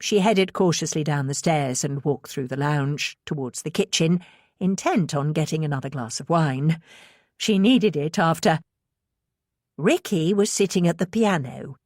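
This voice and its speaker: Woman, deep-ish voice, audiobook narration, suspense novel, dry studio-like sound. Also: unhurried, a little mellow, dry recording. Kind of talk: scripted suspense fiction narration.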